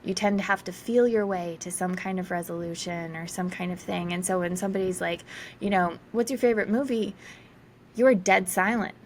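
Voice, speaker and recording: A woman's voice: on the low side, fairly even intonation, fairly quick, slight background noise. A woman from South Carolina, a guest astrologer, talking casually in an interview with many fillers and laughs. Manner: chatty, lots of fillers and laughter.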